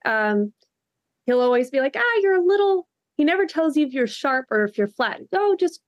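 A soft-spoken young woman from Texas, a musician chatting as an interview guest with a lot of ums. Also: sits high, big pitch swings, normal speed, no echo, lo-fi sound. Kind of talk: casual interview chat.

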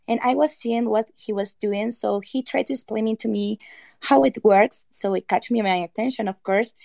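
A woman's voice: fairly high pitch, creaky, warm tone, no room sound. A woman from Ecuador, a guest on a panel show, speaking hesitantly. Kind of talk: casual conversation.

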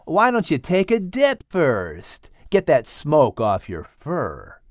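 A man's voice: fairly high pitch, wildly swooping pitch, slow, no room sound, clean studio sound, full character voice. A man voicing a genial, easygoing male animal with a polite, chatty manner in an audiobook. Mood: friendly. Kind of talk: audiobook character voice.

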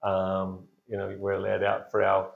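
A man's voice: low-pitched, completely flat delivery, moderate pace, creaky. A middle-aged man from New South Wales, speaking as a pastor in a casual interview, hesitant with many fillers and restarts, laughing easily. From Blue Mountains, New South Wales, Australia. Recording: echoey, dull lo-fi room sound.

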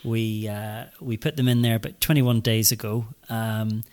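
A man's voice: on the low side, fairly even intonation, moderate pace, a little mellow. A Northern Irish man in his forties, a school head, talking eagerly in a podcast interview with lots of ums and restarts. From Northern Ireland. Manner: enthusiastic, many fillers and restarts.

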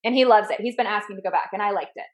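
Easygoing woman in her thirties, casual podcast co-host, even mid-range voice. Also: mid-range voice, rapid-fire. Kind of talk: casual chat.

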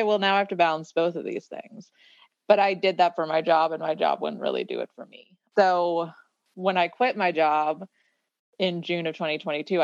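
A woman's voice: deep voice, gravelly, dry recording, dull audio. A woman of about thirty talking reflectively in a casual podcast conversation, building her points through repeated phrases. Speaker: podcast guest.

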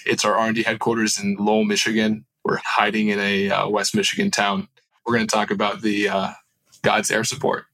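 A man's voice: fairly low pitch, completely flat delivery, fairly quick, bright, forceful tone, no room sound, rough recording. A man telling personal stories casually and earnestly as an interview guest. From born in Southern California, grew up in Turkey. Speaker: podcast guest, aerospace founder.

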